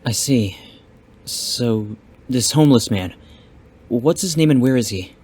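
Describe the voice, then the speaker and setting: A man playing an eager, polite young martial arts student in an audio drama, earnest and expressive, in an echoey recording. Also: medium pitch, measured pace, close to an everyday voice. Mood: curious, surprised. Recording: echoey, reverberant, faint background noise.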